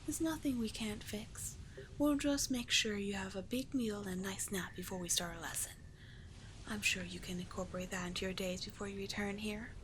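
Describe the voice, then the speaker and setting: A woman voicing a seductive, doting, manipulative sea witch in an ASMR roleplay, breathy and coaxing, lingering on her words. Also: medium pitch, normal speed, fairly bright tone, natural voice. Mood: tender, teasing. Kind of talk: ASMR roleplay, slow hypnotic sleep-aid delivery. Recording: clearly noisy, with ambient sound.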